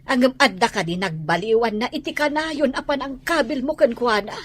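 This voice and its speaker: A woman with an Ilocano accent playing a protective, worried mother in a radio drama, with a husky voice. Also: fairly high pitch, lively intonation, moderate pace. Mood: upset, pleading. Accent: Filipino, Ilocano. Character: protective, worried mother standing up to her husband.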